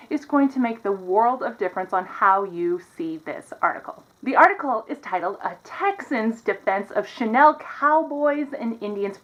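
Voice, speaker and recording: A woman's voice: a little high, fairly bright tone, lo-fi sound. A woman hosting a web show, giving sardonic commentary to her audience in a theatrical, tongue-in-cheek way. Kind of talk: sardonic video commentary to camera.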